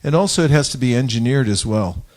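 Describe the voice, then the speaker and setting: A man speaking as a religious teacher in an informal group discussion, explaining his thoughts in a halting, reflective way. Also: medium pitch, a little mellow. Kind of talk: informal spiritual discourse.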